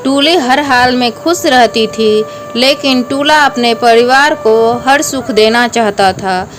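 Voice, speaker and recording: Slow-paced woman storyteller. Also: fairly high pitch, over music. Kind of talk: scripted moral story narration.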